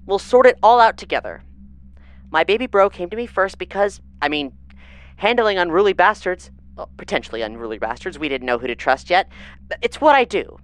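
A woman's voice: lowish voice, very animated, brisk. A woman playing a blunt, impatient security leader on a moonbase in a sci-fi audio drama, brusque and commanding. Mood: brusque impatience.

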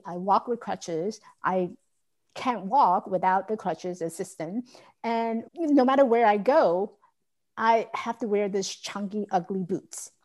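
A woman from Taiwan telling her life story as an interview guest, earnest and candid. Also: medium pitch. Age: adult. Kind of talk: personal story in a podcast interview.

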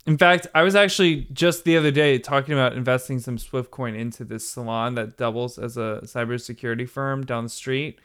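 Male co-host, medium pitch, smooth clear voice, deadpan casual comedy. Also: fairly quick, few pauses. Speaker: podcast co-host.

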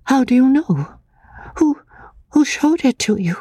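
A woman voicing a fragile, anxious, childlike young woman in a dramatic audiobook, soft and husky, hesitant and pleading. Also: high voice, lively intonation, measured pace, no echo, pitched above the performer's own voice, exaggerated voice. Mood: alarmed. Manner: pleading, hesitant, naive, with repeated words.

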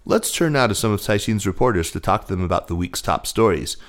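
Low-voiced man presenting business news. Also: lively intonation, quick pace. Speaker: podcast host and news presenter. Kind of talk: scripted news reading and interviewing.

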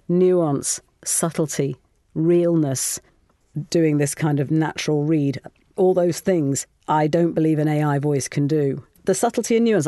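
A woman's voice: low voice, mellow, dry recording, clean studio sound. A middle-aged British woman speaking frankly and emphatically as a guest voice-over artist in a casual interview.

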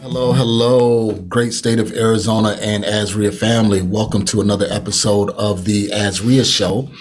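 A man's voice: on the low side, little pitch movement, normal speed, faint music behind. A man hosting a casual interview podcast, talking in a friendly way with repeated greetings. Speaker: podcast host.

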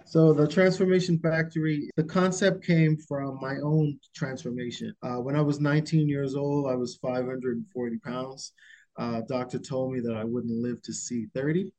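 Bahamian man, interview guest, unhurried, mid pitch. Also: fairly even intonation, a little mellow, poor audio. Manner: unhurried, with frequent filler pauses. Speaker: guest entrepreneur. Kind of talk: casual interview storytelling.

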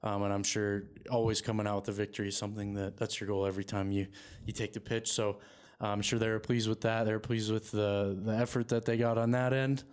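A man's voice: on the low side, fast talker, clean tone. A man hosting a casual sports podcast, talking steadily with lots of "um" and "kind of". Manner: even, steady delivery with many fillers. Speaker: podcast host.

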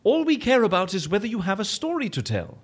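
An actor playing a fussy, sceptical gentleman in a comic audio drama, speaking in an arch, polite, wordy way. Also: high-pitched, huge pitch swings, fast, slightly rough, exaggerated voice. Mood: arch, amused. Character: fussy, theatrical, sceptical gentleman, unflappable until threatened.

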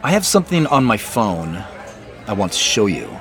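A man's voice: on the low side, very animated, normal speed, over music, a crowd in the background. An actor playing an earnest, probing male boss in naturalistic audio drama, speaking in an insistent, questioning, conversational way. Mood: guarded.

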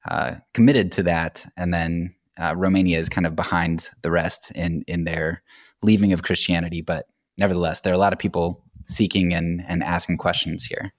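An American man with a deep, low voice talks as a guest on a podcast. He explains in a casual, anecdotal style, recorded dry and without room sound. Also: fairly even intonation, normal speed, no room sound. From United States.

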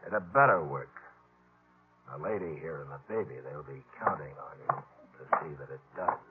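An actor playing a bossy, menacing gang leader in a 1950s radio western, curt and scornful. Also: male voice, rumbling low, lively intonation, moderate pace, loud music behind the voice. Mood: menacing, commanding. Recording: muffled old 1950s radio recording.

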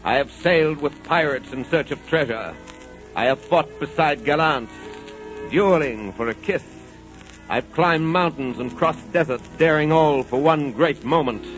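A man's voice: a little high, unhurried, a bit bright. A man playing Adventure itself, a romantic immortal spirit, in a grandiose, theatrical 1940s radio monologue. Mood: grand, seductive. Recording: muffled old 1940s radio recording, music.

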